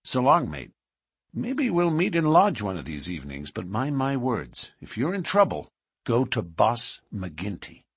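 A man's voice: mid-range voice, huge pitch swings, measured pace, creaky, breathy edge, dry recording, clean studio sound, heavily acted. A man voicing a friendly, chatty local working man. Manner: easygoing, advising.

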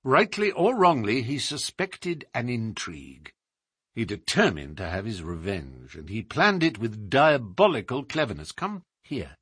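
A man voicing a self-assured gentleman detective in an audiobook, explaining in a precise, formal, theatrical way. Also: mid-range voice, very animated, measured pace, slightly rough, no echo, studio quality, pitched above the performer's own voice, exaggerated voice. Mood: expository, confident.

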